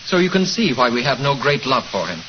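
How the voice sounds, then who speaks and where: A man's voice: mid-range voice, sing-song, fairly quick, lightly acted. A man playing a hesitant young suitor on noisy old radio. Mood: earnest. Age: young adult. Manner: earnest, hesitant explaining. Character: young man, troubled cousin hoping to marry. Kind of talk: 1940s radio acting. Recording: lo-fi 1940s radio broadcast with clear hiss.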